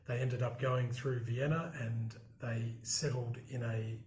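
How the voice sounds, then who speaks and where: A man answering interview questions in a measured, reflective way, pausing with frequent ums and restarts. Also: medium pitch, steady tone, darkish tone, roomy sound. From Australia. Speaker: interviewee, broadcast journalist.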